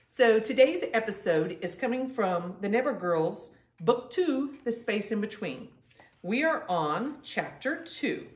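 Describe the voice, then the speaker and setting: Middle-aged woman reading aloud, roomy sound. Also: mid-range voice. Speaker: storytime host reading aloud. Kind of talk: read-aloud children's storytelling. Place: indoor room at home. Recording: roomy, distant-mic home recording.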